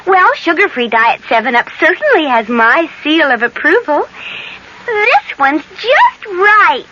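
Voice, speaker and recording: Chatty fairy tale gal selling stuff, voice swoops all over, muffled old radio ad. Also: female voice, high-pitched, sing-song, a little slow, pushed and bright, exaggerated voice. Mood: delighted. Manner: breezy, theatrical, swooping. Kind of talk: comic character radio commercial. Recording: muffled vintage radio commercial.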